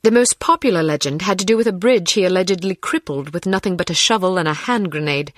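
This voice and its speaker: An actress playing a self-possessed Scottish secret agent in a video game, cool, articulate and wry, with a Scottish accent. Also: female voice, on the low side, quick pace, dry recording, clean studio sound, lightly acted. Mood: matter-of-fact.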